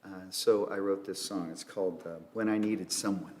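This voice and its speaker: A singer-songwriter man at a live concert, introducing his song softly and hesitantly, with many pauses and fillers. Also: deep voice, steady tone, slight background noise. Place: historic hall, live concert. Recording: live music hall recording with guitar.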